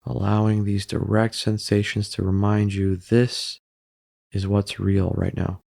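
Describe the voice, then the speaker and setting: A man narrating a guided meditation in a calm, unhurried way, pausing between short phrases. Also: lowish voice, creaky, dry recording, studio quality.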